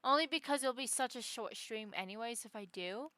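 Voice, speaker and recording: Bright high-voiced woman streamer. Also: brisk, dry recording. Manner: rambling, self-correcting, talks to chat. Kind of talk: casual live game stream chat. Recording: music behind the voice.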